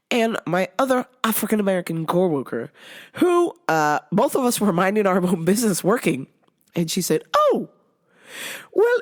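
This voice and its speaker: A young woman whose voice is low and recovering, telling a work story in a profane, animated storytime rant. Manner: profane, animated, laughs a lot. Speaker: vlogger and retail cashier.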